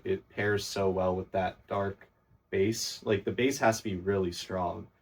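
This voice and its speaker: A man chatting casually on a podcast about music production, enthusiastic and complimentary, rambling a little. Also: low-pitched. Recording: voice a bit distant from the mic.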